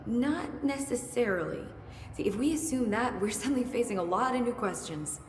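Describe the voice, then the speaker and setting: A woman's voice: a little high, lively intonation, normal speed, natural voice. Actress as curious scientist woman in an echoing hall. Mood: curious, musing. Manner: analytical, thinking aloud in questions. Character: brisk, curious, dryly witty woman research scientist. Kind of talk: video game dialogue. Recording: echoey hall with faint noise.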